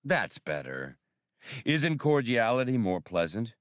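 Suave gunman villain, acted by a man, swooping pitch. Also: sing-song, takes it slowly, no echo, studio quality. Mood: condescending. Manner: polite, smooth, mocking formality. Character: suave, condescending male gunman villain, deadly calm.

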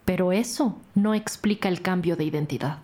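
Woman acting a mellow, steady senior police officer. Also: lowish voice, moderate pace, lightly acted. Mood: coaxing. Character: steady, protective female senior police officer questioning suspects. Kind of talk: crime audio drama acting.

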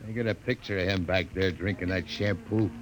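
An actor playing a wry, amused barroom onlooker in old radio comedy style, with dry, offhand delivery. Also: male voice, sits low, normal speed, lightly acted, rough recording. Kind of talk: 1940s-50s radio comedy acting. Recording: old radio recording, crowd murmur behind.

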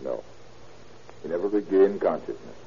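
An actor playing a calm, gentle but authoritative hospital doctor in an old radio drama, measured and soothing. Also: male voice, low-pitched, creaky. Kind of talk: 1930s radio acting. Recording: noisy, muffled old radio recording.

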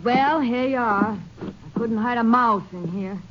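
A woman's voice: a little high, unhurried, background noise, natural voice. An actress playing a defensive woman who evades questioning in a 1950s radio drama. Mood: guarded, defensive. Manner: guarded, defensive, evasive. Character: evasive, defensive woman hiding a fugitive. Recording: muffled old 1950s radio recording.

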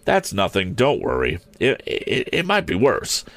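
An unflappable aeronaut, acted by a man, speaking in quick, jerky bursts with a raised, lively pitch. He is courteous and practical, a steady hand in a vintage adventure storybook. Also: creaky, dry recording, full character voice. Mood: brisk, reassuring. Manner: quick, jerky, halting sentences.

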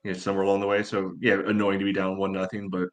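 A man giving casual sports analysis as a podcast panelist, in halting phrases padded with filler. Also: low voice, monotone. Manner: halting, often says "you know".

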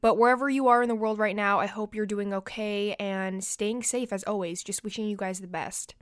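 Young woman, podcast host, stuffy nose, chatty and confiding, smooth clear voice, dry close sound. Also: medium pitch, steady tone, fairly quick, no echo. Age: young adult. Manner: chatty and confiding, many "like" and "you know" fillers. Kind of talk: casual solo podcast chat.

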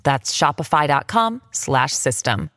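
A woman's voice: deep voice, sing-song, measured pace, a bit bright, no room sound, studio quality. A woman reading a scripted podcast ad with a playful touch. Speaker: ad read. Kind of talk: scripted ad read.

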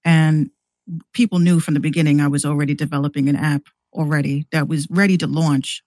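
A man's voice: on the high side, flat delivery, fairly quick, darkish tone, no echo, studio quality. A man in a casual podcast chat, explaining things informally and often repeating words as he goes. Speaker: podcast guest who runs an online radio station.

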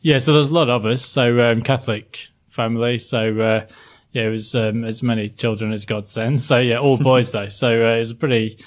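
A middle-aged man from Sheffield with a softened, well-spoken Northern English accent, answering interview questions with many ums and restarts. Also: mid-range voice, steady tone, normal speed. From Sheffield, England. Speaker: guest, former chartered accountant.

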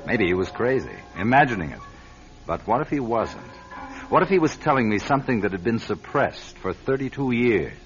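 A man's voice: medium pitch, very animated, moderate pace. An actor playing a driven male network journalist who narrates his story in an earnest, urgent way, in a radio drama. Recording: muffled old radio broadcast with music.

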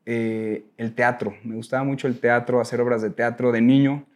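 Mexican guy getting interviewed, medium pitch, casual storytelling, rambles a bit and corrects himself. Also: little pitch movement, normal speed, a little mellow. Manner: informal, self-correcting, rambling. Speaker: interview guest.

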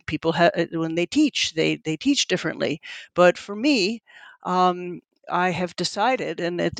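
Middle-aged guy, first language italian, high voice, keeps restarting his sentences, dry close sound. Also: dry recording. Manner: halting, many restarts and repeated words. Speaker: lab head and researcher. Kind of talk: interview answers.

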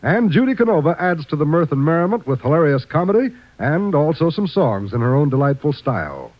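Male American announcer, highish animated voice, polished old-time radio delivery, muffled broadcast. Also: a little fast. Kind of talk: 1950s scripted announcing. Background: music.

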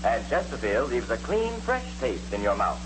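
A man's voice: on the low side, sing-song, normal speed, over loud music, rough recording. A man announcing an old-time radio sponsor's show with punchy slogan delivery.

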